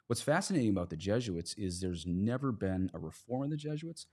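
Low-voiced man in casual discussion. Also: animated, a little fast, mellow, slightly rough. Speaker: priest and podcast guest.

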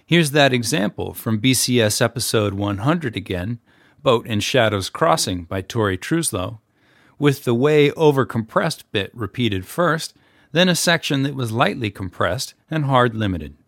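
Male, podcast producer-narrator type, instructional read, average pitch, dry studio-like sound. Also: lively intonation, a little slow, a bit bright, dry recording. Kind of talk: explanatory tutorial narration.

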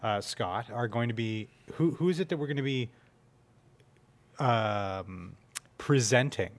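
A man's voice: mid-range voice, measured pace. Casual male podcast host. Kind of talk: casual chat.

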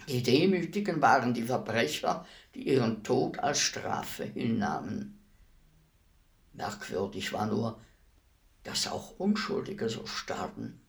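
An actor playing a solemn, courteous executioner in a German radio play, speaking formally and deliberately. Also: male voice, on the high side, full character voice. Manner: formal, measured, deliberate delivery.

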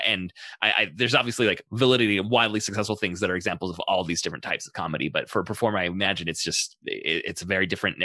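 A man's voice: low voice, bright, forceful tone, no echo. A man casually chatting as the interviewer on a podcast.

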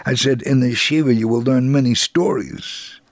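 A man reading a scripted story for radio as narrator, solemn and measured, with a gravelly, husky voice. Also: mid-range voice, no echo.

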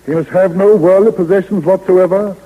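Formal 1930s radio lawyer, high-voiced. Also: male voice, fairly even intonation, phone-quality sound. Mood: solemn, stern. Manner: deliberate, formal, precise. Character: fussy, formal male lawyer reading out a will. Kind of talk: 1930s radio comedy acting. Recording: 1930s radio recording, long reverb.

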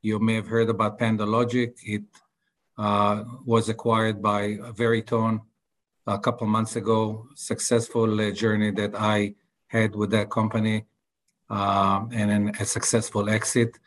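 A middle-aged man with an Israeli accent, a guest entrepreneur speaking haltingly with many filler sounds in a podcast interview. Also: lowish voice, completely flat delivery.

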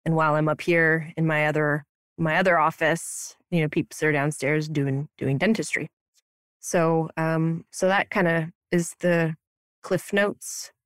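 A woman's voice: sits low, steady tone, measured pace, dry recording, studio quality. A woman in her thirties from Romania, a dental hygienist and practice owner, chatting playfully on a podcast with lots of slang and "like". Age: 30s. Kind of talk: casual podcast chat. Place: her office upstairs at her practice.

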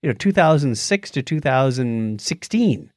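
Low-voiced man chatting on a dry recording. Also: expressive, normal speed, no room sound. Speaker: podcast co-host. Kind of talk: casual chat, recounting a documentary.

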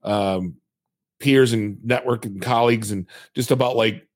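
Chatty storytelling podcast host, dry sound. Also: male voice, fairly low pitch, unhurried, no echo. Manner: chatty, storytelling, self-mocking, many restarts. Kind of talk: casual podcast conversation.

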